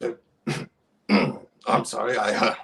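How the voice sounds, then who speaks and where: A young man playing a smooth, placating police detective in a 1930s-style radio play. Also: fairly low pitch, animated, takes it slowly, a crowd in the background, heavily acted. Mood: casual. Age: young adult.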